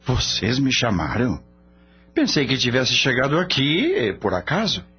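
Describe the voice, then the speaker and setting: An actor playing a naive, curious young man new to the spirit world in a radio drama, husky, wondering and hesitant. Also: medium pitch, big pitch swings, measured pace, exaggerated voice. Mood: puzzled. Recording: dull lo-fi audio with background music.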